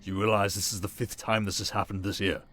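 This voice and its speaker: Guy playing stern vampire duke, low voice, curt n formal, bossy and cold, fantasy audio drama. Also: level tone, fast talker, a bit bright.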